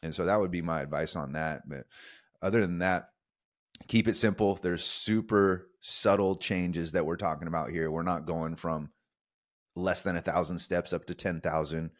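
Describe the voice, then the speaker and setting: Deep-voiced earnest podcast coach. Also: male voice, fairly even intonation, normal speed, no echo, clean studio sound. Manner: earnest, coaching, calls listeners "dude". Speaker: podcast co-host. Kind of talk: casual podcast talk.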